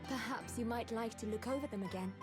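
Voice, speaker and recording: A gentle, polite young woman reading out her letter in a soft, even voice, as a woman reads her lines. Her voice sits a bit higher than usual for a woman. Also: fast talker, over music, close to an everyday voice. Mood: wistful.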